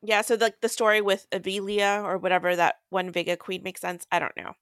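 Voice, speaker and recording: A woman's voice: medium pitch, flat delivery, brisk, fairly bright tone, no echo, studio quality. A young adult woman hosting a podcast, recapping a book in casual, irreverent chat with crude humor and swearing. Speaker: podcast host.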